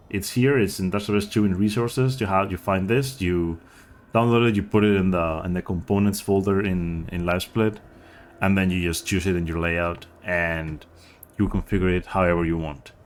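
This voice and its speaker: A man giving a casual, unscripted game tutorial as a speedrunner, with a hesitant, halting delivery that often trails off. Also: low-pitched.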